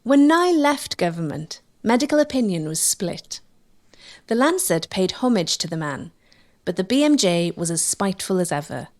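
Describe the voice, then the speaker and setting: A woman's voice: medium pitch, big pitch swings, normal speed, a little mellow. A woman reading scripted documentary narration as the narrator.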